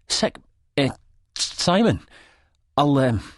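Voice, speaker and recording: A man's voice: medium pitch, very animated, extremely slow, no room sound, studio quality, pitched above the performer's own voice. A man playing a startled, cornered man in an audiobook, stammering and hesitant. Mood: stunned, flustered. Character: startled, cornered man facing an old friend.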